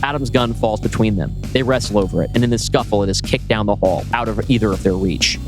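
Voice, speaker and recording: A man's voice: fairly low pitch, fast. A man reading screenplay action as scripted narration, with a dramatic, suspenseful delivery over music. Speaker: podcast host reading his script.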